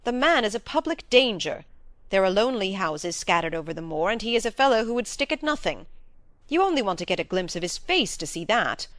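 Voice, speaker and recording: A woman's voice: medium pitch, big pitch swings, fairly quick, fairly bright tone, no room sound. A woman voicing a firm, fair-minded male baronet with a direct, emphatic and formal manner, in a dramatised novel reading. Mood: indignant, firm.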